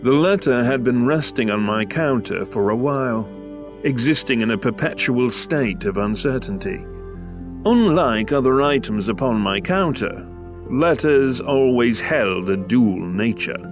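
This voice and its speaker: A man's voice: medium pitch, expressive, a little slow. An actor playing a melancholy failed writer in his thirties, reciting his diary in formal, florid audio drama style. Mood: brooding, musing. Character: melancholy, self-deprecating failed male writer of thirty-five. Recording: music under the voice. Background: music.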